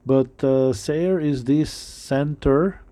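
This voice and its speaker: A middle-aged man with a non-native English accent preaching a sermon, halting and full of fillers. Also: medium pitch, dark tone. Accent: non-native English speaker. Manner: halting, many fillers and restarts.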